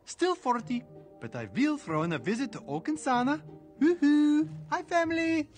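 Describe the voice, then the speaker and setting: An actor playing a big, cheerful trading post keeper in an animated film, with a sing-song Scandinavian accent and a politely insistent salesman's manner. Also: extremely high pitch, moderate pace. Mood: chirpy, insistent. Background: music.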